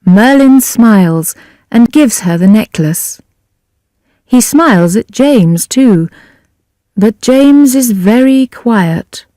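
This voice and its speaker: A woman reading a simple story for language learners in a deliberate, carefully enunciated scripted narration. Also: a little high, expressive, mellow, dry recording, studio quality. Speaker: audiobook narrator.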